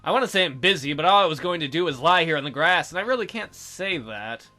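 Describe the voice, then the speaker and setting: A man's voice: fairly high pitch, expressive, fast talker, bright, forceful tone, soft background music. A man hosting a let's play, reading a visual novel aloud with joking, mock-incredulous asides. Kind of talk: casual game commentary and reading aloud.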